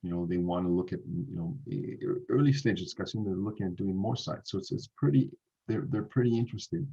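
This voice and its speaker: Deep-voiced Canadian man on a dull call. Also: creaky, mellow. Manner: halting, many restarts and fillers. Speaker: company founder presenting. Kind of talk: seminar Q&A answers. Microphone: video call. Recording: dull lo-fi video call audio.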